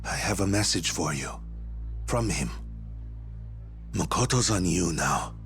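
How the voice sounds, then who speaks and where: A man's voice: sits low, expressive, measured pace, fairly bright tone, soft background music, heavily acted. An actor playing a polite, earnest male doctor delivering a grave message in a game dub. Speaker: doctor messenger.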